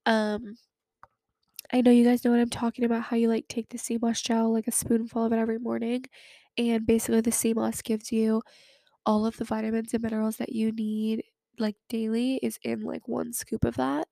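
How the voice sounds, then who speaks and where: A woman's voice: on the high side, monotone, a little fast, vocal fry, mellow, dry recording, studio quality. A young woman in her twenties hosting a casual chat podcast, sounding nasal as she gets over a cold, chatty and full of fillers. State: sick, recovering from a cold. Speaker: podcast host.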